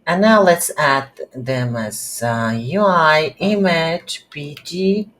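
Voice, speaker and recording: A woman hosting a casual game development tutorial, speaking haltingly as she thinks aloud while working. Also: low voice. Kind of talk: casual screen-recorded software tutorial.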